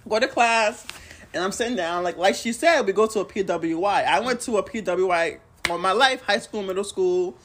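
Haitian-born woman in her twenties, joking co-host, slightly breathy voice. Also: medium pitch, moderate pace, a bit bright. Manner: laid-back, joking. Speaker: podcast co-host. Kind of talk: casual chat.

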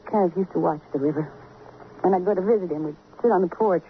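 An actress playing a grief-stricken, self-pitying wife of a murdered man in halting, intense radio drama style. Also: on the low side, lightly acted. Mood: numb grief. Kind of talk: old-time radio drama acting. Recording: tinny, noisy vintage radio recording.

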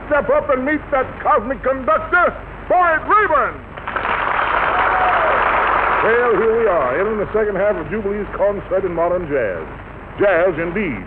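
A man hosting a 1940s radio music show with florid jive showman patter, on an old broadcast recording. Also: high voice. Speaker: radio show master of ceremonies. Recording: tinny, noisy vintage radio recording.

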